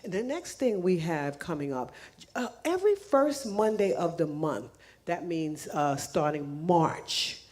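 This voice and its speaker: A woman's voice: on the low side, big pitch swings, unhurried, darkish tone. A woman making casual announcements to a church congregation, chatty and laughing, with frequent restarts, speaking English as a second language. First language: not English. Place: church sanctuary.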